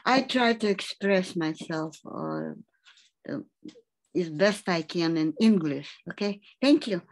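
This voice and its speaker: A woman whose first language is Russian, asking a long, emphatic question in halting English on a video call. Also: fairly low pitch, expressive, poor audio. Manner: halting non-native English, emphatic complaints. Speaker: audience member asking a question.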